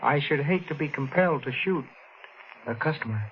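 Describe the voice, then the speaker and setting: An actor playing a polite, prim record shop clerk who hesitates, in 1940s radio acting. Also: male voice, fairly high pitch, normal speed, lightly acted. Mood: cool. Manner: polite, hesitant, quietly threatening. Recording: dull hissy old radio recording.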